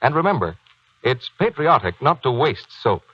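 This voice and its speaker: Animated, husky 1940s radio announcer man. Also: mid-range voice, normal speed, dry recording. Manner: polished salesman's persuasion. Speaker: commercial announcer. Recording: dull 1940s radio broadcast recording.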